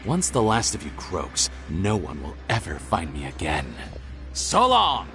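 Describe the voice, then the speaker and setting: A cruel criminal mastermind sneers and gloats at his captives. His low voice swings widely in pitch, mocking and cold, over faint game music. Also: wildly swooping pitch, unhurried, pushed and bright, heavily acted. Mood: sneering. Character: scheming disfigured male criminal boss, cruel. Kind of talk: video game voice acting.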